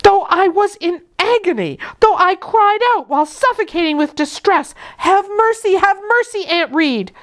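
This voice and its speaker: A woman's voice: very high-pitched, expressive, normal speed, few pauses, voice pushed up. Woman acting a passionate, defiant young orphan girl. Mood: anguished outburst. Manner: impassioned, emphatic declarations.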